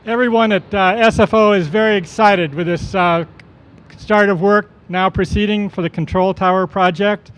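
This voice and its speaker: Guy with a high clear voice doing a formal ceremony speech, measured, kinda halting. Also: steady tone, rough recording. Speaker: airport official hosting a ceremony.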